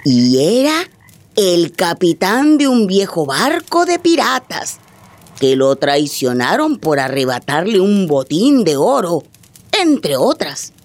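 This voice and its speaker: A woman playing an old grandmother, tender but firm, telling a tale dramatically in a radio drama with a husky voice. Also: fairly low pitch, very animated, no room sound, exaggerated voice. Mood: suspenseful storytelling. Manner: unhurried, dramatic oral storytelling.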